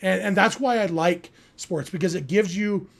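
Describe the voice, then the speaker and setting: Sports podcast host: American man, notably high-pitched voice, earnest, reflective, conversational live delivery. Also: moderate pace, vocal fry. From California, United States. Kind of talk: casual live sports talk, answering listener chat.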